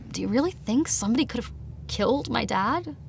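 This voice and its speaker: An actress playing an anxious, grieving young woman in an audio drama, halting and incredulous, often sighing. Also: fairly high pitch, gravelly, a little hiss or hum. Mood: subdued, grieving. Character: anxious, grieving young woman, defensive about her dead father.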